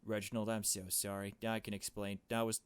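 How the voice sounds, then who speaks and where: A man's voice: fairly low pitch, completely flat delivery, brisk, smooth, lightly acted. A man voicing an anxious, hesitant young woman in a visual novel game, with a questioning manner. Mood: apologetic.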